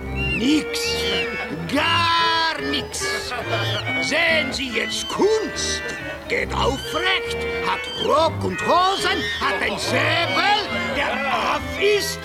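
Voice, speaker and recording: A man's voice: extremely high pitch, huge pitch swings, extremely slow, exaggerated voice. An actor in a radio drama playing an exuberant fairground barker who shows off performing animals with pompous, broken showman patter. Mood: gleeful, bombastic. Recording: loud music behind the voice. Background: music.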